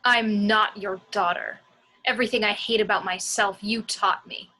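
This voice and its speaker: A woman's voice: medium pitch, steady tone, normal speed, bright, forceful tone, faint music behind. A woman playing a bitter, defiant warrior daughter in an amateur script read, with an emotional, accusing delivery.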